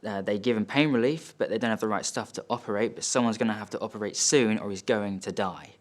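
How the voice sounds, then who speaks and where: Low-voiced wounded son, acted by a man. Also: fast, natural voice. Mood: tense, subdued. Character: wealthy, wounded, bitter son recounting family conflict. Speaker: actor performing a monologue. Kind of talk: dramatic stage monologue, first-person storytelling. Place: studio.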